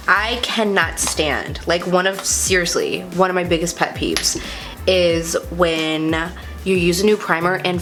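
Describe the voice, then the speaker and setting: A young woman talks her viewers through her makeup in a chatty, confiding way, poking fun at herself as she goes. Her voice sits fairly low and sounds stuffed up from a cold. Soft music plays faintly underneath. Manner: chatty, confiding, self-deprecating. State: congested, sniffly. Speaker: beauty vlogger. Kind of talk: casual makeup tutorial chat.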